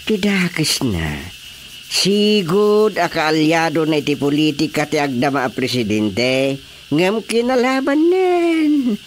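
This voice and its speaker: Opinionated Filipino grumbler played by a woman, radio drama, unhurried, swooping emphatic speech, faint noise. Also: medium pitch. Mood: indignant. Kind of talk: Ilocano radio drama dialogue.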